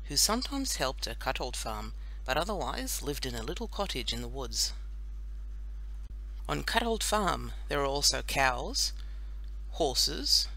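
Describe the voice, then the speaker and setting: Lady narrator for a kids audiobook, bright voice, very expressive, lots of up and down. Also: extremely low pitch, low-quality recording. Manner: expressive, deliberate storytelling. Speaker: audiobook reader. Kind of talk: scripted children's story narration.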